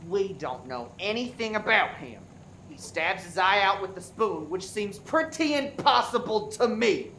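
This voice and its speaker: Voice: mid-range voice, very animated, measured pace, fairly bright tone, reverberant, a little hiss or hum, low-quality recording. An actor playing a touchy, defensive, accusing widowed husband in a farcical radio play. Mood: suspicious. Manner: indignant, defensive. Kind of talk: farce radio play acting.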